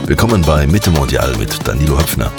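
A man with a very deep voice narrates a radio feature, his pitch rising and falling in a lively, emphatic way. Clear music runs underneath him. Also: brisk. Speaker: radio host and reporter. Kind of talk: scripted feature narration and interview.

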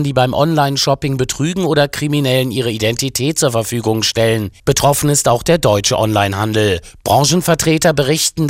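A man delivering a radio news report as a correspondent from the news desk, brisk and formal. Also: medium pitch, no echo, clean studio sound.

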